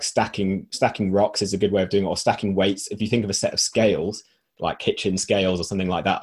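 A man co-hosting a podcast in a relaxed, explanatory way, his voice husky and strained as he loses it. He sits a touch low in pitch and leans on simple analogies to make his points. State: losing his voice. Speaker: podcast co-host. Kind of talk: casual podcast discussion.

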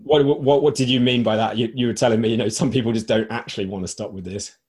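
A man's voice: mid-range voice, fairly even intonation, fast talker, a little mellow, slightly rough. A man co-hosting a podcast, talking casually and explaining ideas through everyday analogies while losing his voice. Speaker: podcast co-host.

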